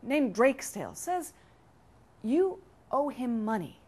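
Castle maid, played by a woman: courteous, dutiful, quietly spoken, high female voice, storybook style. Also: very animated, takes it slowly, heavily acted. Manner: polite, quiet, formal.

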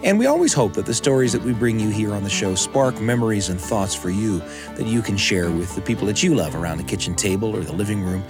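An American man hosting a radio show, moving between scripted introductions and friendly studio conversation. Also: on the low side.

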